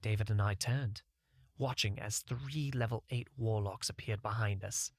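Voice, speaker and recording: A man's voice: on the low side, moderate pace, no room sound, clean studio sound. A man narrating a first-person fantasy audiobook in a scripted storytelling style.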